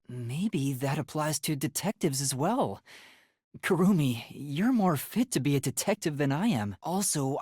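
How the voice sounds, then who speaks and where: A boyish young male detective trainee in a video game, light and breathy. He is earnest and timid, polite but easily flustered, and his pitch moves expressively in a dry, close recording. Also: a little mellow, no room sound. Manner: earnest, hesitant, polite, stammers when flustered. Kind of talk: video game voice acting, English dub.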